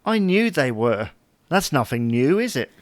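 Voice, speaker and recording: A man's voice: medium pitch, sing-song, a little slow, no room sound. A man playing a chatty, fussy, wryly puzzled husband in an audio sitcom. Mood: dry. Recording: noticeable background noise.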